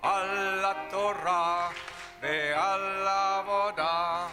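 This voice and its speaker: A cantor chanting prayers slowly in a synagogue service. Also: mid-range voice, fairly even intonation, music in the background. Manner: slow, drawn-out sung prayer. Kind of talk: liturgical chanting. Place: synagogue sanctuary.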